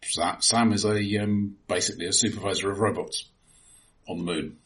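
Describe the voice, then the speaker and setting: A man with a fairly low voice co-hosts a casual film discussion. He talks in a dry, wry manner, as one half of a conversational podcast. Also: steady tone, moderate pace, a bit bright. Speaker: podcast co-host.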